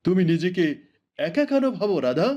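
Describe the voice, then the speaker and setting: Guy voicing a cartoon king, kinda high voice, reassuring and regal, spoils his wife. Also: very animated, normal speed, mellow, lo-fi sound. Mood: tender, reassuring. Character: devoted king, tender and indulgent husband. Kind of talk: cartoon voice acting.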